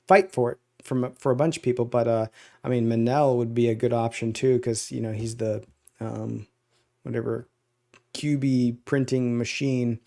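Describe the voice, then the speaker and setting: A man with a mid-range voice talking casually on a podcast. He rambles and circles back, filling pauses with "uh" and "like.". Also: mid-range voice, steady tone, vocal fry, mellow. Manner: rambling, loose, lots of "uh" and "like". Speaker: podcast co-host. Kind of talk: casual chat.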